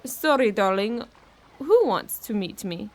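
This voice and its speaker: A woman's voice: mid-range voice, lively intonation, unhurried. An actress playing a tough, sardonic Bulgarian woman minding a child in an audio horror drama, coaxing and wry. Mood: puzzled.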